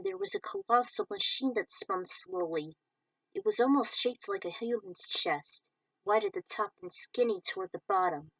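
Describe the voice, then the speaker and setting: Woman reading fan fiction aloud, lively pitch, dry room, kinda off mic. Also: no room sound, distant mic, rough recording.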